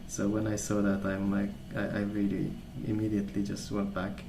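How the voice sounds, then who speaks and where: A man speaking softly in a calm, gentle, unhurried way, rambling in a casual ASMR storytelling style. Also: lowish voice. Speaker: ASMR video creator. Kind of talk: soft-spoken ASMR rambling. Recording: roomy sound with clear background noise.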